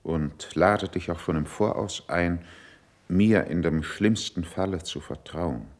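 An actor playing a measured, worldly, admonishing senior courtier in a classical verse radio drama, speaking gravely with a gravelly voice. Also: male voice, sits low. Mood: grave warning. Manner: deliberate, grave, weighty declamation.

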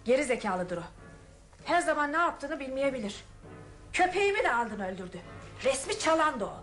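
High-voiced, resolute young heiress. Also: female voice, sing-song, fairly quick, a bit bright, faint music behind. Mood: cool, accusing. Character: composed, resolute daughter of a wealthy household, suspicious, unsentimental. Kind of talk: radio theatre acting.

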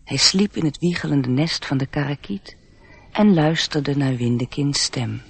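A man's voice: on the high side, lively intonation, a little slow, creaky, slightly rough, exaggerated voice. A man narrating a radio play in a scripted, literary storytelling style.

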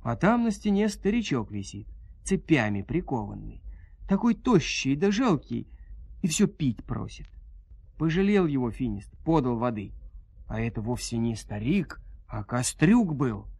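A man narrating a dramatized fairy tale in an expressive, folksy storytelling manner. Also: medium pitch, measured pace, breathy edge, dry recording.

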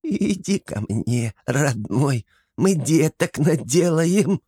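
A lewd swamp kikimora with a thin, shaky, nasal coo that climbs high and slow. She drags out every word, as a male storyteller acts her out. Dry, close sound. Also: expressive, dark tone, breathy edge, no echo, heavily acted. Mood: eerie cooing. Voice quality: thin, quavering, nasal cooing. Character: creepy female swamp kikimora, cooing and lewd.

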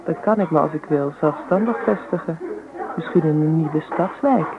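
A young woman playing a chatty, pert hairdresser in a Dutch radio drama, with a brisk, talkative manner. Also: sits low, a loud crowd. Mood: chatty, open. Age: young adult. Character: chatty, pert young female hairdresser on holiday-island job. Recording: tinny narrow-band old radio recording.

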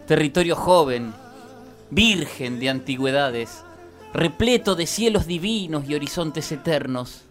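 A man's voice: on the high side, expressive, unhurried, soft background music. A man with an Argentine accent reading scripted satirical radio narration in a declamatory, mock-solemn manner.

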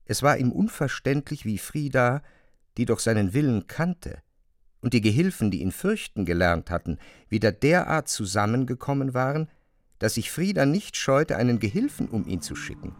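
Guy narrating a radio drama, articulate, medium pitch, very expressive intonation, dry close sound. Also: moderate pace, no echo. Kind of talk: literary narration for a radio play.

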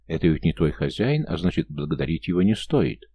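A man's voice: sits low, sing-song, brisk, breathy edge, dry recording, highs cut off, heavily acted. A man voicing a blunt, commanding healer-priest who lectures brusquely in a fantasy audiobook. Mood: lecturing.

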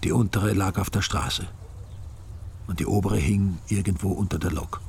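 An older man narrating a radio drama in a measured, wry way. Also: low voice, fairly even intonation, creaky, darkish tone, a little hiss or hum. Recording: studio radio play with music.

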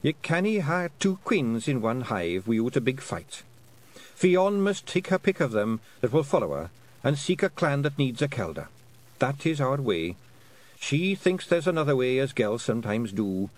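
A man voicing a dying old fairy clan mother with a Scottish accent, speaking slowly and firmly in a raised voice. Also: big pitch swings, close to an everyday voice. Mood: commanding, frail. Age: over 60. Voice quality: slow, raised-pitch, firm old-woman voice.

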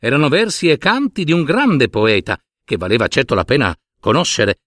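Fairy tale narrator: man, mid-pitched, highly varied intonation, theatrical delivery with chatty asides. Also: animated, normal speed, fairly bright tone, no room sound, clean studio sound. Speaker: storyteller.

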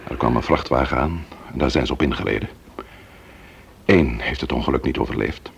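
An actor playing a sober, probing investigator in a Dutch radio play, with a measured, formal way of questioning. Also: male voice, extremely low pitch, gravelly, a little hiss or hum. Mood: sober, apologetic. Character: sober, probing male investigator, breaking grim news.

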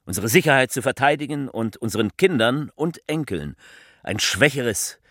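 An actor playing a political orator who delivers a bleak, defeatist speech in a theatrical radio play, declamatory and repetitive, with a husky voice. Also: male voice, mid-range voice, expressive, a bit bright, dry recording, studio quality. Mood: insistent, rousing. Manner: declamatory, repeating phrases with rhetorical pauses.

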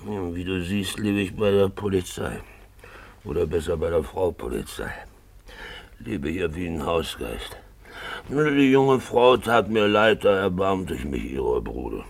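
Boozy roguish gentleman for a fifties radio play: low, gravelly, theatrical, jovially lecherous, grumbles then cries out. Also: big pitch swings, unhurried, exaggerated voice. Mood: amused, bragging. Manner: theatrical, swinging from grumbling mumble to loud wheedling cries. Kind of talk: 1950s German radio drama acting.

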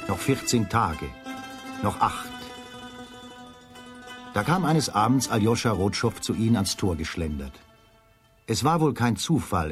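A man narrating a children's radio drama as a scripted story, in a measured, literary storytelling manner. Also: lowish voice.

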